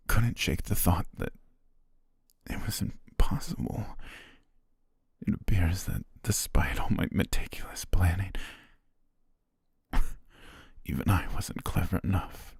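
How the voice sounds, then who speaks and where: A man playing a possessive, lovestruck butler in an ASMR romance roleplay, speaking formally in a hushed, breathy voice. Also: low voice, lively intonation, a little slow, pauses often, no room sound, studio quality, full character voice. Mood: hurt, bewildered, then wounded, sorrowful devotion. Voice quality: husky, breathy, near-whisper. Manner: formal, ornate, hushed diction.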